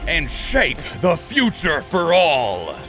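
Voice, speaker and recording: A man delivers dramatic, portentous trailer narration at a measured pace. His pitch moves widely through the lines, and prominent music plays behind him. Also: huge pitch swings, bright, forceful tone.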